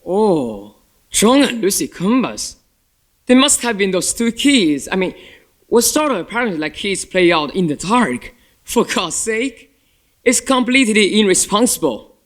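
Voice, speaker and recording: Young man acting a brash high-voiced cynic. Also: sing-song, measured pace. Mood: flippant. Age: teenager. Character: brash, cynical, unrepentant male guest in murder mystery. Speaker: student stage actor. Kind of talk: amateur stage play acting.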